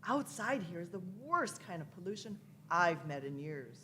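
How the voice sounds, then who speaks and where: A woman's voice: fairly low pitch, big pitch swings, normal speed, pushed and bright. A woman playing an elderly, grandfatherly male time traveller in a live radio play, fussy and self-assured in an old-fashioned way. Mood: insistent.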